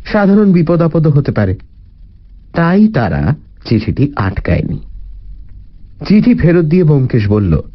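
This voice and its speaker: A man narrating a detective story in a dramatic, theatrical, expressive style. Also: a little high, measured pace, pauses often, dull audio.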